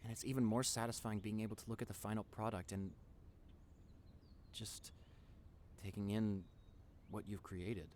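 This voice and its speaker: A man's voice: lowish voice, flat delivery, normal speed, pauses often, a little mellow, slight background noise, close to an everyday voice. A man playing a nerdy, introverted young boyfriend in an intimate audio roleplay, earnest and rambling with a nervous stammer. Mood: earnest, wistful. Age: young adult. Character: nerdy introverted young boyfriend, wacky, tender, passionate artist.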